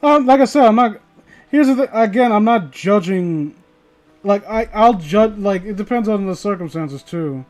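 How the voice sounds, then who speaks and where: Male livestreamer, high-pitched, tipsy and foul-mouthed, loose rambling chat and reading, music behind. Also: lively intonation, moderate pace, a little mellow. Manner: rambling, profane, opinionated. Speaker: livestreamer reading online horror stories.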